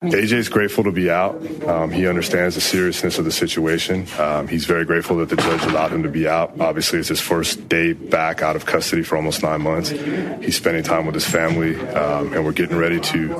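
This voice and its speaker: A man with a very low, flat voice delivers a careful statement to reporters as a defense lawyer, pausing on fillers. Voices of a crowd press in around him in this archival news clip. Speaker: defense attorney.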